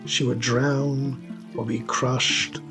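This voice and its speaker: A man narrates a scripted fantasy tale in the role of storyteller. His voice has a creaky, fried grain at a middling pitch, and music plays clearly behind him. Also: little pitch movement, very slow.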